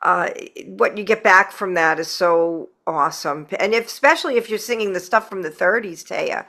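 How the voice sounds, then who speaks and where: A woman's voice: mid-range voice, moderate pace, pushed and bright. A woman hosting a podcast, chatting casually and chiming in with agreement, recorded over a video call. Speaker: podcast host. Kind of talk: casual chat.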